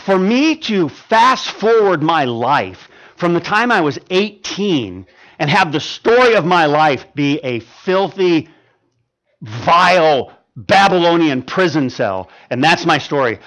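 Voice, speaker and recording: A man in his fifties preaching a sermon, swinging from loud emphatic stretches to quiet ones, with frequent stammered restarts. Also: a little high. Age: 50s. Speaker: pastor.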